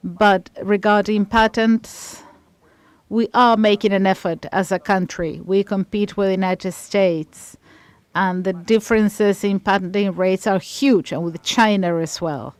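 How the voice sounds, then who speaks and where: Smooth-voiced woman interpreting a panel. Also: medium pitch, steady tone, dry recording. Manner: halting, with frequent restarts while keeping up. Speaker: simultaneous interpreter. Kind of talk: live interpretation of a conference panel.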